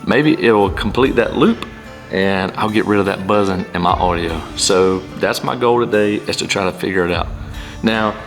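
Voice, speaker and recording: A man hosting a casual how-to vlog, talking in a plain-spoken, informal and self-effacing way. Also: lowish voice. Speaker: amateur pilot and video host.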